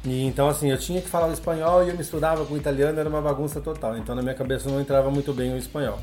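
A middle-aged man from Brazil, a guest on a podcast, telling his story in a relaxed way with many filler tags. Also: on the high side, rapid-fire, faint music behind. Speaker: guest, English teacher. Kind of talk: casual podcast interview.

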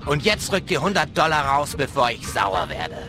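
Pushy, sarcastic male cop played by an actor, very high voice, swooping intonation; radio play. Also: big pitch swings, moderate pace. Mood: irritated demanding. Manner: insistent, sarcastic. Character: pushy, money-demanding male police colleague Jeffrey. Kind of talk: audio drama acting of a video game story. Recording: music under the voice.

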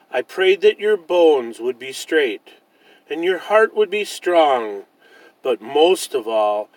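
A man voicing a loving, gentle mother bear who reassures her cub in a children's storybook. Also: medium pitch, dry recording, poor audio.

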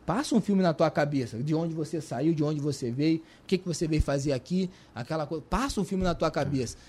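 A Brazilian man with a Brazilian Portuguese accent, a guest telling his story in a lively, colloquial way, full of restarts and repetitions. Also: on the high side, a little fast. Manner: animated, colloquial, repeats and restarts. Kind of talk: casual storytelling.

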